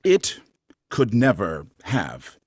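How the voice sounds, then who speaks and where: A man's voice: fairly low pitch, wildly swooping pitch, extremely slow, breathy edge, heavily acted. A man playing a strict, exacting uncle who drills grammar in a clipped, emphatic way, in a staged reading. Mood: pedantic, insistent.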